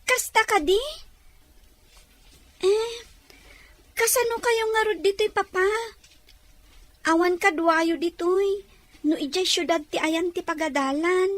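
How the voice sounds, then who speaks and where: A woman's voice: very high-pitched, slow pace, pauses often, dry recording. A woman playing a timid but eager young schoolgirl in an audio drama. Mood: unsure, questioning.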